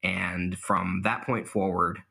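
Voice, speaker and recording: A man's voice: deep voice, steady tone, slow pace, gravelly, pushed and bright, breathy edge. A young man answering interview questions casually, self-deprecating and laughing at his own stories, with plenty of fillers. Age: young adult. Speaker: guest, mock trial competitor.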